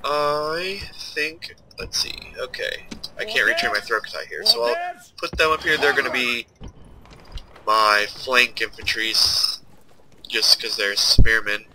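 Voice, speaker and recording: A man's voice: medium pitch, lively intonation, a little slow, bright, forceful tone, a little hiss or hum, low-quality recording. A young man with a stuffed-up, nasal voice, casually commenting while he plays a strategy game and thinks aloud. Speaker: let's play host.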